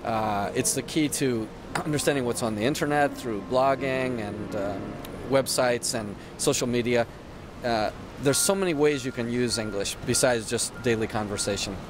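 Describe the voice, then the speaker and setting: A man's voice: mid-range voice, normal speed, noisy background. A man in casual conversation, talking in an enthusiastic, explanatory way and laughing easily. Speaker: conversation partner.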